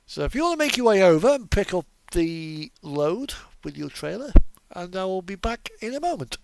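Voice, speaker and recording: A man's voice: high-pitched, sing-song, normal speed, vocal fry, background noise. A man chatting casually and offhandedly while playing a truck simulator game. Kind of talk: casual chat during gameplay.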